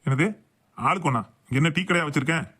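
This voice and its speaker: A man's voice: on the high side, quick pace, pushed and bright. A man voicing a boastful, grumbling husband and father in a comic cartoon. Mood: exasperated. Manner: blustering, complaining.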